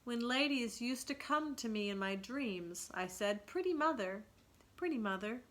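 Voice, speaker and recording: A woman's voice: on the high side, lively intonation, measured pace. Woman playing a solemn, remorseful young boy. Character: solemn, remorseful, dignified young boy, meek yet brave.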